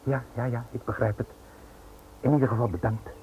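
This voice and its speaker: A man acting a smooth, menacing Italian financier in a radio play, polite, insinuating and pressing. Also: medium pitch, fairly even intonation, fairly quick, close to an everyday voice. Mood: insinuating politeness. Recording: tinny, noisy old radio play recording.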